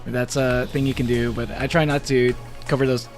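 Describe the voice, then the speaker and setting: A man's voice: medium pitch, flat delivery, moderate pace, soft background music. A man streaming a game live, chatting casually with his viewers, rambling with lots of fillers and frequent laughter. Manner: rambling, laughs often, many restarts and fillers. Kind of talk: casual live-stream chat while gaming.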